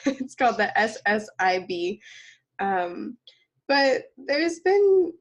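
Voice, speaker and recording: A woman's voice: fairly high pitch, very animated, slow pace. A woman with a congested cold voice co-hosting a nutrition podcast in casual chat, laughing often and using lots of fillers. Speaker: podcast co-host.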